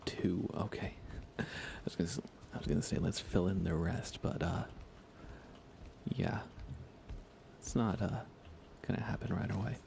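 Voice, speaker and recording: A soft-spoken man chatting casually in an ASMR game livestream, often slipping into a whisper as he rambles along unhurriedly. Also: sits low, normal speed, creaky, long pauses, slight background noise. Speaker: live streamer. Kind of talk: soft ASMR gaming stream, casual chat with viewers.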